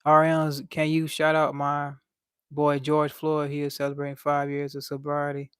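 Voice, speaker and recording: A man's voice: fairly high pitch, completely flat delivery, normal speed, clean tone, no room sound, studio quality. A young man with a light voice, chatting casually on a livestream and reading out chat in a laid-back, slangy way.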